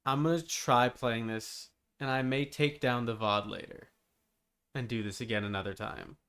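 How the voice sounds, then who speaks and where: A man's voice: medium pitch, steady tone, normal speed, fairly bright tone, clean tone. A man doing casual live game-stream commentary, muttering to himself and repeating his phrases. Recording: noticeable background noise.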